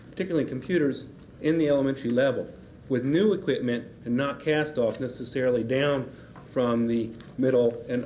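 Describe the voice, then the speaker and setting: A man's voice: mid-range voice, a little mellow. A man giving a public comment at a school board meeting, firm and urging. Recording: 1990s public-access broadcast with faint hiss.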